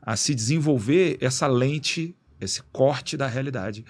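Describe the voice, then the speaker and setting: A man being interviewed as a guest in a radio studio, explaining in a relaxed, slangy way with many restarts. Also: medium pitch, measured pace. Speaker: guest, executive director of an institute.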